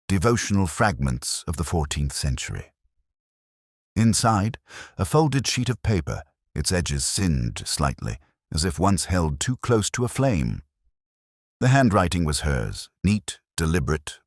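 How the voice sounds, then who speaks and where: A man reading a first-person detective mystery as narrator, in a measured, brooding and deliberate way. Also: very deep voice, no room sound, clean studio sound.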